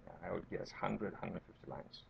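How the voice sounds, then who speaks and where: Gravelly Romanian-accented man lecturing, dull recording. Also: sits low, normal speed, slight background noise. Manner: explanatory, often checking with "right?" and "okay?". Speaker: university lecturer. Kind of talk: informal tutorial lecture.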